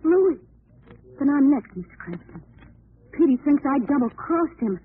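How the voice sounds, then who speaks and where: Anxious, resigned female crook's wife, high voice, classic radio crime drama, tinny sound. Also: sing-song, normal speed. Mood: frightened. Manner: anxious, plaintive. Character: fearful, weary gangster's wife, resigned yet loyal. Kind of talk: 1940s radio drama acting. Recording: tinny old radio with faint hiss.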